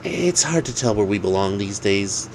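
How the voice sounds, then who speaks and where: A man's voice: lowish voice, animated, moderate pace, a little hiss or hum, poor audio. Casting: ancient female demon temptress played by a man, blunt, irritable, grumbling, for a comic horror story. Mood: breezy, matter-of-fact. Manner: sardonic, grumbling, matter-of-fact.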